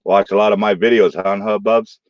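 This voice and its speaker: A man with a St. Louis accent hosting a late-night live stream from his room, joking loudly and swearing freely. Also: on the low side, flat delivery, fairly quick, dry recording, highs cut off. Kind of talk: casual live stream chat.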